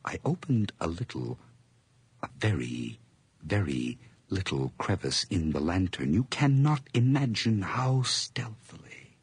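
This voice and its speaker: An actor playing a sly, obsessive madman in a gothic radio drama, speaking theatrically and deliberately in a husky, breathy voice that often nears a whisper. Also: low voice, big pitch swings, no room sound, heavily acted. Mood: stealthy, sinister. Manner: theatrical, deliberate, lingering on repeated words. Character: sly, obsessive, gloating madman confessing a murder plot.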